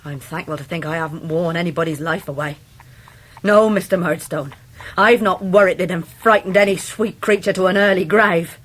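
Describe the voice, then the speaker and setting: A woman's voice: on the low side, moderate pace, lightly acted. Blunt, defiant English countrywoman, played by an actress. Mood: bitter defiance. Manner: blunt, pointed, rustic. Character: plain-spoken, loyal, defiant middle-aged widowed servant woman. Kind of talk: radio drama acting of a Victorian novel.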